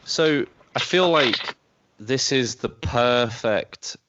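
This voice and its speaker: A man co-hosting a casual gaming podcast, smooth and clear, chatting hesitantly as he searches for words. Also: mid-range voice, very slow, noisy background. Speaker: podcast co-host. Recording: slightly dull, dry podcast audio.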